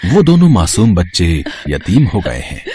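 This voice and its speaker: A man playing a wise old fakir in an audio folk tale, giving slow, fatherly, solemn counsel. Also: sits low, wildly swooping pitch, warm tone, slight background noise, full character voice. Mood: solemn, gentle. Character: wise old male fakir, calm mystic holy man.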